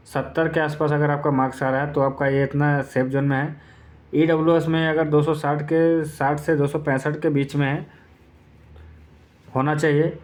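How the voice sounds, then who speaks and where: A man's voice: on the high side, a little mellow. Man explaining step by step, informally. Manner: repetitive, step-by-step explaining with filler phrases. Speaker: exam-prep YouTube presenter. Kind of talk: informal explainer addressed to exam candidates.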